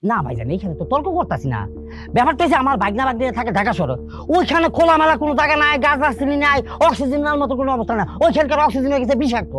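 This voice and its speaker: A breezy, protective village uncle with a Bangladeshi accent. His high voice swings about as he argues glibly in a comic family drama, with clear music underneath. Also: rapid-fire, heavily acted. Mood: defiant, glib. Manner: argumentative, glib, animated.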